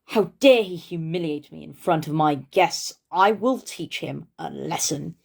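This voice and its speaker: A woman's voice: deep voice, measured pace, creaky, heavily acted. A woman voicing a proud, vengeful male borrower in a children's storybook reading. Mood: threatening.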